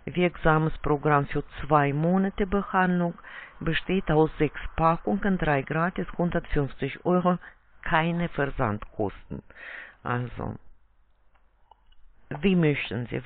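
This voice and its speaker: A man with a creaky voice sells over a tinny phone line. He talks slowly and haltingly, with non-native phrasing, as he takes down an order. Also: on the high side. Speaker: call centre sales agent on the phone. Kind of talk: sales call, taking an order.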